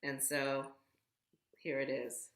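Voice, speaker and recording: A woman singer speaking as a guest, explaining things in a measured, conversational way. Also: rumbling low, little pitch movement, echoey room. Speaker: guest vocalist. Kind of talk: conversational discussion of singing technique.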